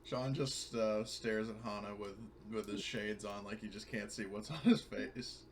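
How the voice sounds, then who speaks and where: A man's voice: lowish voice, unhurried, slight background noise. Casual, sweary male tabletop gamer. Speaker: tabletop game player. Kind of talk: casual chat with profanity.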